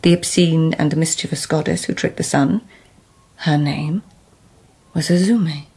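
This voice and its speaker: A woman playing a cool, astute lady-in-waiting in a radio drama, speaking formally and drily with a husky voice. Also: sits low, fairly even intonation, measured pace, a little mellow, full character voice. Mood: measured. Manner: formal, crisp phrasing, dry.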